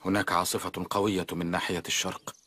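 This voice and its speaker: A man's voice: sits low, level tone, quick pace, bright, forceful tone, breathy edge, no echo, heavily acted. A man playing a young, earnest, brooding wild man of the jungle in a cartoon dub. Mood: pensive. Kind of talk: Arabic cartoon dubbing.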